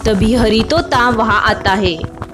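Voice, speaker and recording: Woman narrator for a kids story cartoon, scripted read, highish voice, music under it. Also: slow pace.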